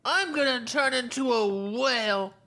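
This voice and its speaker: A woman voicing a stubborn, excitable little child in an audio drama, insistent and childlike. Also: high voice, measured pace, heavily acted. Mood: excited. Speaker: actor.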